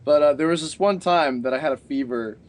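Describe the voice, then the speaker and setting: A man with a high-pitched voice running the chat, tossing out questions and sarcastic jabs. His delivery is brisk and offhand. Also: noisy background. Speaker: podcast host asking questions. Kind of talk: casual group chat.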